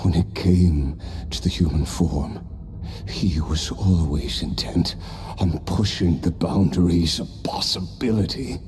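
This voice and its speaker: A man's voice: low-pitched, slow pace, long reverb tail, soft background music. A man voicing an immortal, driven warrior in a game, reflective and wry. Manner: reflective, wry, quiet laughs. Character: immortal, driven male warrior recalling his past. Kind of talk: game voice acting.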